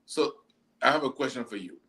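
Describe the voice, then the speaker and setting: A man co-hosting a casual football chat, animated and laughing, often mimicking people in quotes. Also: medium pitch, a little fast, crowd noise. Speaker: podcast co-host.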